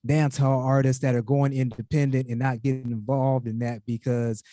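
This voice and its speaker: A man hosting a music interview in a casual, measured way, pausing as he goes. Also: mid-range voice, little pitch movement, warm tone. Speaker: music show host.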